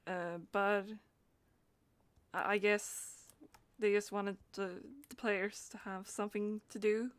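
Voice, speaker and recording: A woman's voice: mid-range voice, flat delivery, slow, no echo. A woman streamer chatting casually in a hesitant, rambling, giggly way. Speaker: live streamer. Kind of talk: casual livestream chat.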